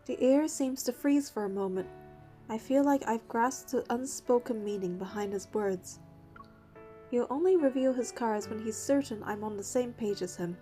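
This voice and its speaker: A woman's voice: on the high side, moderate pace, warm tone, soft background music, lightly acted. An actress playing an earnest, determined young woman hunter in a scripted game, voicing her dialogue and first-person narration. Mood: tense, serious.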